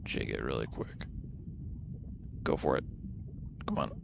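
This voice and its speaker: A tired man whose voice is starting to go hoarse, rambling casually and thinking aloud as he hosts a gaming livestream. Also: a bass voice, a little slow, background noise. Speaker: livestreamer hosting a charity gaming stream. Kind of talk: casual unscripted game-stream chat.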